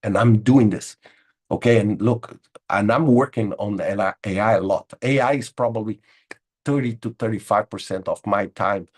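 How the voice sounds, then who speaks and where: Husky-voiced man, emphatic webinar host. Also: on the low side, moderate pace, darkish tone. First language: Portuguese. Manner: emphatic, repeats words, says "okay?" a lot. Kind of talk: informal webinar talk answering chat questions. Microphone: video call.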